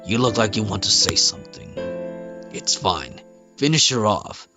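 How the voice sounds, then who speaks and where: A man's voice: fairly low pitch, animated, moderate pace, pitched below the performer's own voice. A man voicing an arrogant, pompous pharaoh king in a fantasy game story. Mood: commanding. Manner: pompous, imperious. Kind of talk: acted fantasy game cutscene reading. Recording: music behind the voice.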